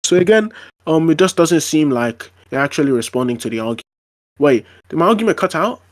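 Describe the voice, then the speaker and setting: Man arguing with halting fillers. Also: on the high side, big pitch swings. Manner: argumentative, lots of fillers and restarts. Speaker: debater. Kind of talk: casual online debate.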